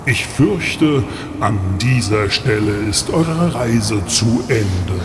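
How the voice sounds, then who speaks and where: A man playing a stern, ominous Saint Nicholas in an improvised audio play, speaking in a deliberate, imposing way. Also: expressive. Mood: ominous regret. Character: stern, ominous Saint Nicholas, a dark stranger demanding a bargain. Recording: long booming reverb, clear background noise.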